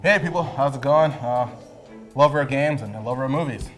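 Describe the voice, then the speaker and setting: A man's voice: mid-range voice, brisk, soft background music. A man giving his opinions casually as a discussion guest, tentative and thinking aloud. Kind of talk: casual chat.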